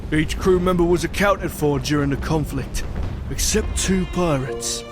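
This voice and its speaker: A man's voice: fairly high pitch, unhurried. A man narrating a scripted adventure story in a dramatic storytelling style. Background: music.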